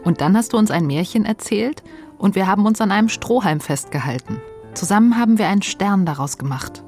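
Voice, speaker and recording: Reflective woman as a wistful grandchild, soft music behind. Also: mid-range voice, expressive, fairly quick, lightly acted. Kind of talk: radio play acting.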